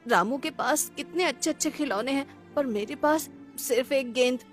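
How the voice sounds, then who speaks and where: A woman's voice: high voice, normal speed, soft background music, lightly acted. A woman voicing an innocent, wistful poor little village boy in a cartoon story, with a childlike, naive manner.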